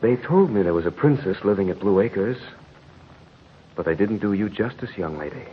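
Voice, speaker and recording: Actor as a low-voiced romantic suitor, muffled radio. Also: male voice, expressive, moderate pace, close to an everyday voice. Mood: flirtatious. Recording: muffled noisy 1940s radio recording.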